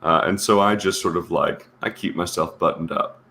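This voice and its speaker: Low, slightly gravelly, halting man. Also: bright, forceful tone, a little hiss or hum. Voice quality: a little gravelly. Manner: hesitant, halting with repeated starts. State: congested with a sinus infection. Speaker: guest co-panelist, content creator. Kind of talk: casual live stream chat.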